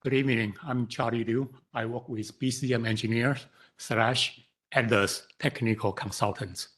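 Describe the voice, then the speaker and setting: Husky Taiwanese-accented older engineer. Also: male voice, medium pitch, steady tone, fairly bright tone. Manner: halting, frequent fillers and repeats. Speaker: consulting engineer. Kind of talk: presentation to council. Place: council chamber.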